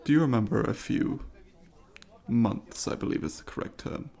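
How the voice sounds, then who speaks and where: Man playing a gravelly, polite alien student. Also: medium pitch, moderate pace, mellow, natural voice. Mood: careful, reassuring. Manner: formal, precise, inquisitive and apologetic. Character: polite, eager alien student studying humans. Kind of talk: ASMR roleplay, addressing the listener.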